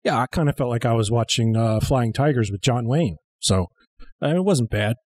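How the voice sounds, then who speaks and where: A man's voice: medium pitch, brisk, darkish tone, no room sound. A man in his thirties co-hosting a humorous film commentary show with a dry, sarcastic manner. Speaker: comedy show co-host. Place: small studio. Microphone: close studio mic on boom arm.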